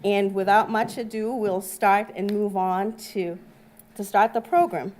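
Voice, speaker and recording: A woman's voice: mid-range voice, steady tone, unhurried, a little mellow. A woman hosting an event, giving upbeat, welcoming opening remarks. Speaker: event host.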